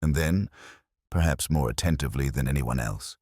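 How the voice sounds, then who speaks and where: A man narrating a genteel comic mystery story in a measured, literary and dryly understated manner. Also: extremely low pitch, warm tone, no room sound, studio quality.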